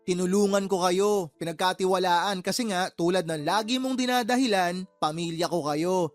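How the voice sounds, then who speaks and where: A man's voice: high-pitched, moderate pace, no echo, faint music behind, natural voice. A man playing a fed-up, exasperated uncle and boss in a Tagalog radio drama, scolding his sister with heated, sarcastic questions. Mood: angry, fed up.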